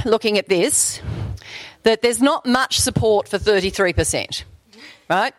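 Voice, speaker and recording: Woman, brisk meeting facilitator, dry close sound. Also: medium pitch, no echo. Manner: brisk, chatty, checks in with "right?". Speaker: workshop facilitator. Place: meeting room.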